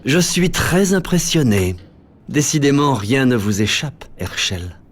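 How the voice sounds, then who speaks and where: An actor playing a menacing villainous scientist with measured, mocking politeness in an animated game. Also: male voice, medium pitch, a little hiss or hum. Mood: smug. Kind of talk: animated game cutscene acting.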